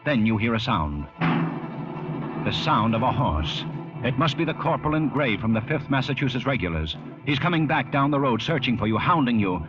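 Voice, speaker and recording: An actor playing a fearful inner voice, narrating a fugitive's flight in dramatic second-person radio suspense style. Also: male voice, medium pitch, close to an everyday voice. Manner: dramatic, urgent. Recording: muffled old radio, music behind.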